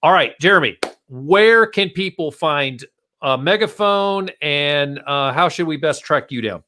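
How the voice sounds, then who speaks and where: A man's voice: fairly high pitch, lively intonation, a little slow, bright, forceful tone. A man hosting a casual marketing podcast, chatting informally and speaking straight to his listeners. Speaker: podcast host.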